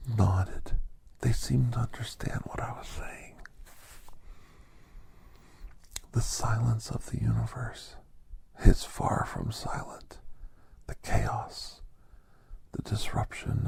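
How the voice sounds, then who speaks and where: Gentle doctor storyteller, played by a man, breathy near-whisper, very slow and reflective, for hushed ASMR. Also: on the low side, heavily acted. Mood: contemplative. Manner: slow, hushed, halting short phrases.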